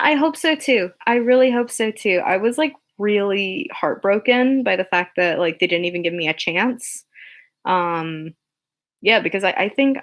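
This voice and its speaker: Chatty young woman, rambling livestream talk. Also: fairly bright tone. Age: young adult. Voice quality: low speaking voice. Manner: rambling with many fillers and restarts; encouraging and earnest. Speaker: YouTuber answering viewer questions. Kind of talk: casual unscripted chat on a livestream.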